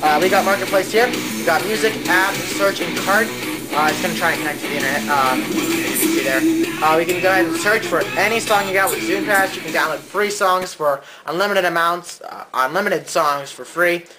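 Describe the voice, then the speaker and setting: A man's voice: high-pitched, fairly quick, over loud music, rough recording. A man giving a casual, hands-on gadget review as a co-host.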